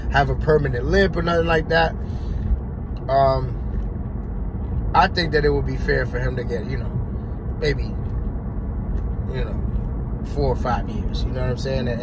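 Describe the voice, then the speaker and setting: Guy in his 30s vlogging from his car on his phone, rambling opinions, lots of "you know", heavy road noise. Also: medium pitch. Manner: loose, opinionated, many fillers and restarts; gestures with his hands while talking. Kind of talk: casual commentary to camera. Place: parked car, indoors of vehicle. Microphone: phone camera mounted in car.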